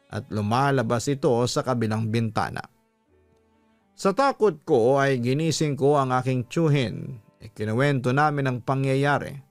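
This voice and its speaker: A Filipino man narrates a scripted story in the first person, as the host of a story channel. His voice has a middle pitch and is recorded close and dry, with faint music behind it. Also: no room sound. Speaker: horror story channel narrator reading a listener's letter.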